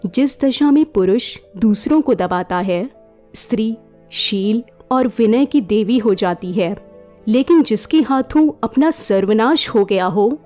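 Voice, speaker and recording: A woman narrates a literary short story at an unhurried, deliberate pace, giving each phrase dramatic weight. Her voice is dark and mellow. Faint music plays beneath her. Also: on the high side, animated. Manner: slow, measured, dramatic storytelling. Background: faint background music.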